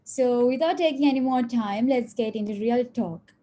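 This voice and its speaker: Warm-toned woman hosting a webinar. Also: fairly high pitch. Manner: formal, measured. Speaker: webinar host. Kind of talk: scripted introduction and interview questions. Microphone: video call.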